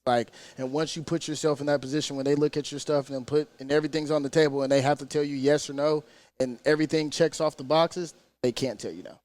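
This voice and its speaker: A man's voice: on the high side, steady tone, quick pace, a little mellow, no echo. A man in his twenties, an assistant football coach, speaking earnestly and in a motivational way in a podcast interview. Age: 20s.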